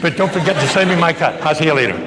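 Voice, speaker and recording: A man's voice: a little high, lively intonation, rapid-fire, heavy background noise. Punchy man giving motivational talk. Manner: punchy, repetitive, humorous. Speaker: motivational speaker. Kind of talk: motivational speech.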